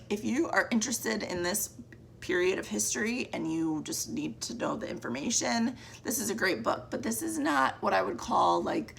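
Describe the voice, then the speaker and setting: A woman with a deep, cracking voice chatting casually about the books she read, often trailing off and restarting. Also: slight background noise. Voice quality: deep, gravelly voice. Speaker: book vlogger. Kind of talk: casual monthly reading wrap-up.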